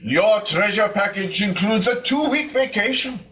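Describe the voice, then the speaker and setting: Man as an effusive, high-pitched call-center agent. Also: animated, moderate pace, pushed and bright. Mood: effusive, salesy. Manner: formal, salesy, effusive. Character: overly polite, effusive overseas prize call-center agent.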